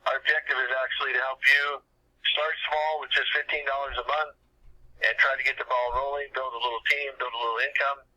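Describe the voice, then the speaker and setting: A man's voice: mid-range voice, level tone, a little fast, sharp tone, rough recording. A man informally presenting and taking questions as host of a business conference call, speaking plainly and often rephrasing himself, recorded over the telephone. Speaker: business owner hosting a team conference call.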